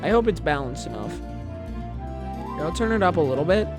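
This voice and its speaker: A chatty woman streamer talks and laughs as she reads aloud, breaking off her own sentences. Her pitch swings widely. Game music plays steadily behind her voice. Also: animated, fairly quick. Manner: chatty, jokey, self-interrupting, laughs often. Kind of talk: casual live-stream chat and manga reading. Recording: background music under voice.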